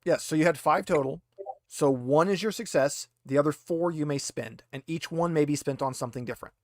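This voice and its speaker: A man's voice: on the high side, fairly quick, a little mellow, dry recording. Man explaining tabletop game rules, close dry sound. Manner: casual, explanatory. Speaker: game master.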